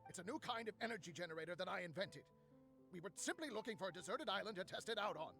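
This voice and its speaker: A high-pitched, irritable inventor. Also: male voice, lively intonation, quick pace, creaky, pushed and bright, soft background music, exaggerated voice. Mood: grudging. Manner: curt, exasperated, grudging. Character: irritable, defensive male inventor, grudgingly cooperative villain henchman. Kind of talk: video game voice acting.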